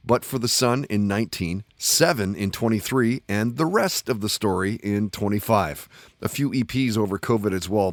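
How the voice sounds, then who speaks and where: A man hosting a podcast, reading a brisk intro and then interviewing a guest. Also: lowish voice, dry recording. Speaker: podcast host.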